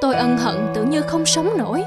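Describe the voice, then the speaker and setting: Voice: sits high, lively intonation, a little fast. An actor playing a remorseful grown man who looks back on boyhood, in solemn cartoon voice-over. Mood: solemn regret. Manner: solemn, regretful. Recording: loud music behind the voice. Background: music.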